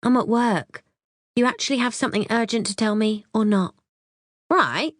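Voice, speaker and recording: A mouthy young woman bursts through with blunt, crude sarcasm, as a woman narrator puts her on. Her voice sits a little higher than the reader's own. The audiobook sound is dry and close. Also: steady tone, fairly quick, no echo, natural voice. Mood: impatient. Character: brash chatty young British woman, the narrator's sister.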